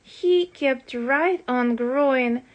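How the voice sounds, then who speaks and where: A woman reading a rhyming children's storybook aloud as a parent would, in an expressive, deliberate storytelling manner. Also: sits high, muffled recording, slight background noise.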